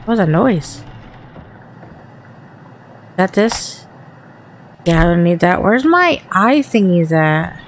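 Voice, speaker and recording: A woman with a Southern accent and a raspy voice chats to the ghosts while playing a horror game. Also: on the low side, big pitch swings, slow, pauses often, a little hiss or hum. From Texas. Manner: talks to the game and its ghosts, jumpy and chatty. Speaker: gaming channel host. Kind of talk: horror game let's play commentary.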